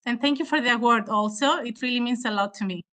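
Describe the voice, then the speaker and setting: A woman's voice: a little high, fast talker, a bit bright. A woman whose first language is Greek, giving a formal, earnest research presentation in English at an online conference, with frequent hesitations. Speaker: award-winning student presenter.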